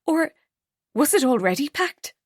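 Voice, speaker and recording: A woman's voice: high voice, big pitch swings, a little slow, dry recording, studio quality, voice pushed up. A woman reading an audiobook, voicing a heartbroken young woman who turns tearful and bitter. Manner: tearful, pleading then cold and clipped.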